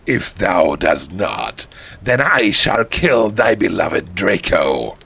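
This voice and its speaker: A man voicing a cruel dark wizard villain who gives pompous, drawn-out commands in archaic speech. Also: deep voice, big pitch swings, vocal fry, slightly rough, voice pushed down, heavily acted. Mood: cruel, menacing.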